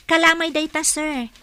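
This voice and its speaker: An actress playing a modest, polite young office worker from the provinces in an Ilocano radio drama. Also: female voice, sits high, fairly even intonation, slow, bright, forceful tone, a little hiss or hum, full character voice.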